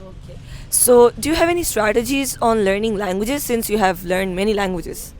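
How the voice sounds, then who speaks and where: A man asking passers-by questions in a street interview, with short prompts and a steady "okay, great" between answers. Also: high-pitched, moderate pace. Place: outdoors on a city street.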